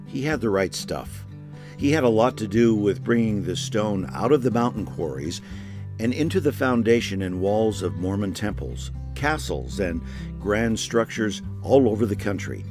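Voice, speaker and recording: Animated male narrator over guitar music. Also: fairly low pitch, moderate pace. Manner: folksy, conversational storytelling. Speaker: narrator and host. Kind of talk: scripted documentary narration.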